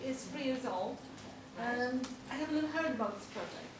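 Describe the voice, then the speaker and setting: A woman in her thirties from Poland, speaking, asks an earnest, direct question from the audience. Her voice is notably high. It comes from far off in a very echoey, noisy hall. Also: normal speed. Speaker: audience member asking a question.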